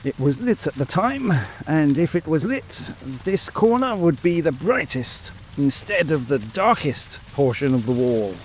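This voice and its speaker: Man playing a slow, pompous little Victorian detective. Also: fairly high pitch, a little hiss or hum. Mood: triumphant. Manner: pompous, triumphant. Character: small, pompous, self-satisfied Victorian police detective. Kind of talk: dramatic audiobook dialogue. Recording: steady rain ambience over voice. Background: rain.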